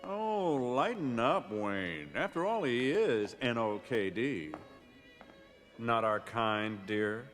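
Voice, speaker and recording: An actor playing a snobbish wealthy socialite who talks in a slow, condescending drawl. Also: male voice, medium pitch, expressive, fairly bright tone, in a large hall, faint music behind. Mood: snide. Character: snobbish wealthy grown man, scornful socialite. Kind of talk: animated series voice acting.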